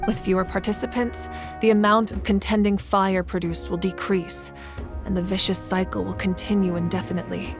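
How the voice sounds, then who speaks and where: A woman plays a fire goddess who leads her people, with a voice at an ordinary middle pitch. She talks in a calm, patient, measured way, like someone used to explaining hard things. Soft music sits under her lines. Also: lightly acted. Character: female fire goddess ruler, calm and protective. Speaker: Pyro Archon. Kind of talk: video game voice acting.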